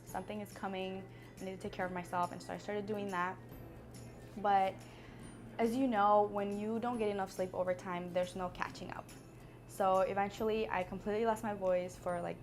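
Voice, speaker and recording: Earnest woman giving wellness advice. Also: mid-range voice. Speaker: wellness vlogger. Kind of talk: casual talk to camera.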